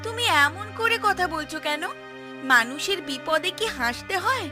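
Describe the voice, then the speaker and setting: A woman voicing sharp-tongued village women in a Bengali cartoon, with a Bengali accent. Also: extremely high pitch, big pitch swings, normal speed. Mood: earnest, worried. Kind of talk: cartoon voice acting. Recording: music behind the voice.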